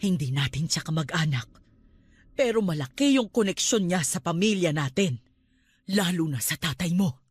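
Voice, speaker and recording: A woman's voice: lowish voice, lively intonation, normal speed, close to an everyday voice. An actress playing a stern, superstitious Filipino aunt who scolds her nephew emphatically in a radio drama. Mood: grave.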